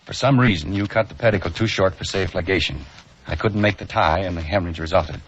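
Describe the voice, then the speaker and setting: An actor playing an earnest, principled young surgeon in an old radio drama. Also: male voice, low-pitched, quick pace, slight background noise, natural voice. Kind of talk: 1940s radio acting. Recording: dull old radio recording.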